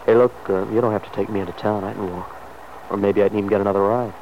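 An actor playing a troubled, guarded but tender young sergeant in an old-time radio drama, halting and earnest. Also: male voice, lowish voice, steady tone, lightly acted. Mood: awkward. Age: young adult. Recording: muffled, noisy vintage radio recording.

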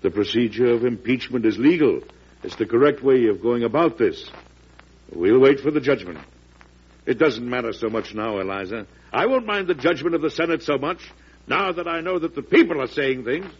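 An actor playing a weary, proud, beleaguered American president in an old-time radio drama, with a gravelly voice. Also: male voice, medium pitch, lively intonation, normal speed. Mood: humbled, resolute. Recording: dull, noisy vintage radio recording.

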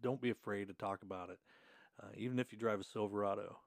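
A man's voice: on the low side, fairly even intonation, quick pace, dry recording, clean studio sound. A middle-aged man hosting a podcast in a friendly, easygoing way. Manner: friendly, easygoing, laughs often. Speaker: podcast host. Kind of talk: casual podcast chat. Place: podcast room.

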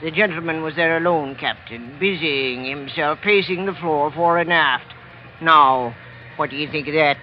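Man playing a high-voiced, talkative doctor. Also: moderate pace, natural voice. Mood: conspiratorial. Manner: lilting, theatrical storytelling with archaic turns of phrase. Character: talkative, sly old-fashioned Celtic ship passenger, amateur doctor. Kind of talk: old-time radio drama acting. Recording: muffled vintage radio recording, faint noise.

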